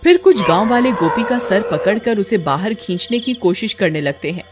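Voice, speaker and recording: A woman's voice: mid-range voice, very animated, normal speed, over music. A woman giving scripted narration of a horror story.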